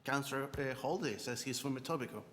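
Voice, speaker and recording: A man giving an unscripted public statement at a meeting, speaking haltingly and often repeating and stammering on words. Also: mid-range voice, a bit bright. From born in Croatia, Canada (Scarborough). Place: large indoor meeting room. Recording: echoey hall, clear background noise.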